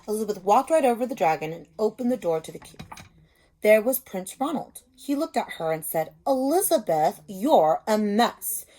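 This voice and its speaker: Female elementary teacher, mid-range pitch, simple step-by-step explanations, children's read-aloud lesson. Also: animated, brisk, dark tone.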